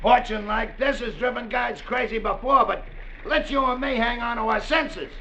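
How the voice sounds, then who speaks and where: A man's voice: very high voice, normal speed, sharp tone, exaggerated voice. An actor playing a frightened sailor, urgent and pleading as he bargains in an old-time radio drama. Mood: urgent, bargaining. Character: McGraw, pleading shipmate, frightened and bargaining for his life. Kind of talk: 1940s-50s radio drama acting. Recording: muffled, faintly noisy vintage radio.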